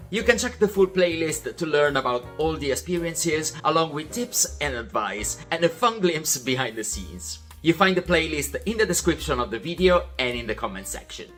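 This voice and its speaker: Man, young adult, animated, friendly and methodical, scripted vlog voiceover. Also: a little high, moderate pace, hardly any pauses, soft background music. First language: Italian. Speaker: vlog host.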